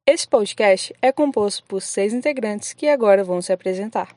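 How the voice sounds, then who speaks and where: A woman's voice: mid-range voice, lively intonation, normal speed, warm tone, soft background music. A young woman from Minas Gerais introducing herself on a podcast in a composed, orderly way. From Minas Gerais, Brazil. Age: young adult. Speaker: podcast member.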